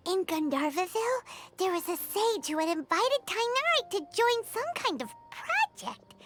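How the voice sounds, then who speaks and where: A woman voicing a perky, loyal little fairy companion in a video game, squeaky and childlike with an exclamatory manner. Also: very animated, normal speed, fairly bright tone, full character voice. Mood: curious. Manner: squeaky, exclamatory, refers to self in third person. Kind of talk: scripted video game character dialogue. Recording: music under the voice.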